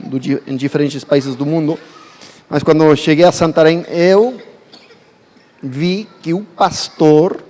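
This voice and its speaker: A man preaches to a congregation in a large, reverberant church hall. He speaks in a slightly higher voice, and his tone stays conversational. He often turns to ask the listeners questions. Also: darkish tone. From United States. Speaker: guest preacher. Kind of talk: sermon.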